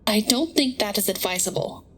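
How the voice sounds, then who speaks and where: A woman playing a wary, sharp-tongued crew member in an audio drama, skeptical and matter-of-fact. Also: mid-range voice, lively intonation, moderate pace, husky.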